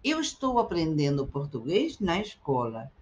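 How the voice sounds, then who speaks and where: A middle-aged woman teaching Portuguese, repeating short phrases slowly and with careful articulation for learners. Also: low voice, sing-song. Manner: slow, carefully articulated repetition. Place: indoors, webcam in a circle overlay.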